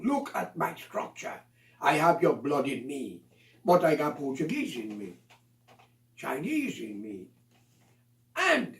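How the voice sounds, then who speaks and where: A man holds forth in a heated, accusatory monologue, lecturing his listeners like a preacher. His voice sits high and leaps all over the place. He sounds a little distant from the mic, with a roomy echo around him. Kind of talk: impassioned monologue.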